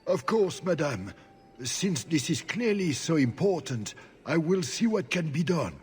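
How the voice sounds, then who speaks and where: Lilting, deferential castle guard. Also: male voice, fairly high pitch, very animated, a little slow, a little mellow, slight background noise. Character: obliging, formal male castle guard. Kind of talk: fantasy game dialogue acting.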